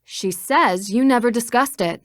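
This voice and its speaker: A righteous young female detective from a mystery game. Her voice sits fairly high and is dry and studio-close. She speaks with earnest, articulate assertiveness. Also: measured pace, fairly bright tone, no room sound. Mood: assertive, accusing. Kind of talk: scripted video game voice acting.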